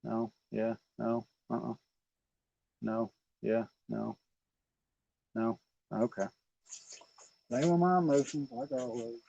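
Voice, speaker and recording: A man's voice: medium pitch, steady tone, slow, gravelly, long pauses, dark tone, dull audio. Folksy man in his forties. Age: 40s. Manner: folksy, joking, coaxes the fish aloud. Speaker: fishing vlog host. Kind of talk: casual chat while night fishing. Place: outdoors on a lake at night.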